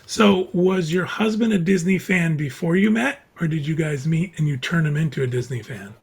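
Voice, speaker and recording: Man, panel host, friendly and steady, gravelly tone, husky. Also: fairly high pitch, moderate pace. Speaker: podcast host. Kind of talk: hosting a panel chat.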